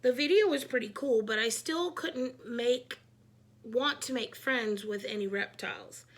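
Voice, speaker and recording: A woman reading a children's book aloud, narrating expressively in an animated, emphatic way. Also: on the high side, unhurried. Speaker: read-aloud storyteller.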